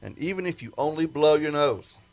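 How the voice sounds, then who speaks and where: A man's voice: on the high side, animated, brisk. A man playing a careful, insistent rocket project chief giving instructions in a retro sci-fi radio play. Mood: stern, cautioning. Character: gruff, careful male rocket project chief briefing his pilot.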